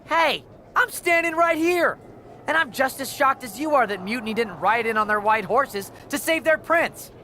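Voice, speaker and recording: A whiny, sarcastic captive in a video game cutscene. His sharp voice jumps around in pitch with self-pity, over faint background noise. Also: lively intonation, moderate pace, full character voice. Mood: indignant, sarcastic.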